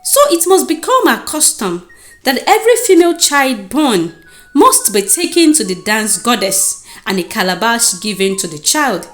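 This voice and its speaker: A woman's voice: on the high side, echoey room. A woman voicing a solemn male chief priest who declaims ceremonially in a folktale. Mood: instructing.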